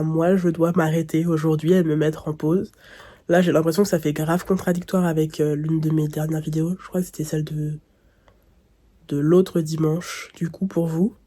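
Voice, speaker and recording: Congested young woman, low dark husky voice. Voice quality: nasal. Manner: rambling, thinking aloud with restarts. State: congested, blocked nose. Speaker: vlogger. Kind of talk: casual vlog talk to camera.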